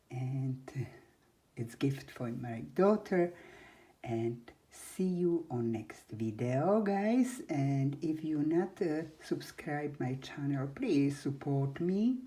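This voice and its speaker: A woman in her seventies hosting a scrapbook craft tutorial, speaking halting non-native English in a chatty, unhurried way. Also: a bass voice, mellow. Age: 70s. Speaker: crafting channel host.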